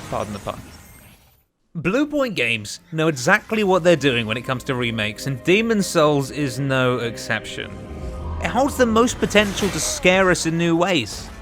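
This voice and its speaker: A man's voice: on the high side, fairly bright tone. A man narrating a scripted video game countdown as a reviewer, with an emphatic presenter's delivery. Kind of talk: scripted narration of a top five games list.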